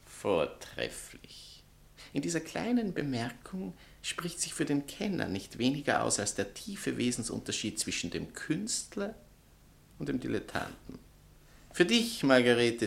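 An actor playing a pompous, theatrical writer and former lover, declamatory and sarcastic in a stage satire. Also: male voice, fairly low pitch, gravelly, fairly bright tone, full character voice. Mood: pompous, lofty. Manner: declamatory, sarcastic, self-important. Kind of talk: stage satire acting in literary German.

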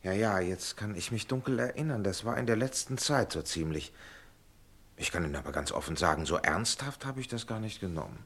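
An actor playing an educated gentleman who advises an old friend in a genteel, persuasive and emphatic way in a drama. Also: lowish voice, expressive, fairly quick. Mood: puzzled, reassuring. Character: educated gentleman, an old friend giving firm, well-meant advice. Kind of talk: stage-style drama acting.

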